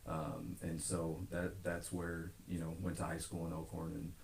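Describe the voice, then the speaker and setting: A man's voice: sits low, completely flat delivery, moderate pace, creaky, a little mellow, slight background noise. An American man from Nebraska talking casually and earnestly as a podcast guest, rambling with frequent filler phrases. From Omaha, Nebraska. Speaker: podcast guest, gym owner. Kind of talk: casual conversational interview. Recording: roomy, echoing podcast recording.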